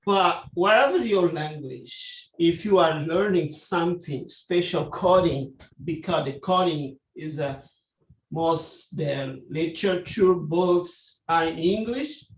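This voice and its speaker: A man from Angola with an Angolan Portuguese accent, giving earnest advice in halting, emphatic non-native English. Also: a little high. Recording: roomy, echoing call audio.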